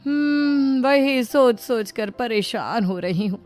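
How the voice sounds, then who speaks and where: A woman plays a middle-aged mother-in-law in a family story. She is motherly, persuasive and a little scheming, with a highish, dramatic voice. Light music runs underneath. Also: animated, slow pace, a little mellow. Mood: feigned worry.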